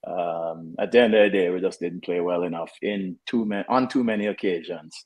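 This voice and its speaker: A Jamaican-accented man in his fifties speaks in a fairly low voice. He talks as a soccer head coach in a casual interview, easygoing and conversational, with fillers and restarts. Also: brisk, a little mellow.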